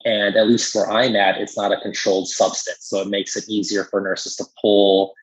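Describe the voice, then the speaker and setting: American guy explaining medicine casually on a podcast, deep-ish and rough voice, kinda muffled. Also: little pitch movement, moderate pace. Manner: informal, explanatory.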